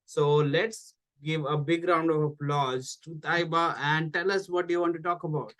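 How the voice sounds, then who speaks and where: A man's voice: fairly high pitch, steady tone, normal speed, a bit bright. A man acting as host, giving an informal introduction for a guest speaker.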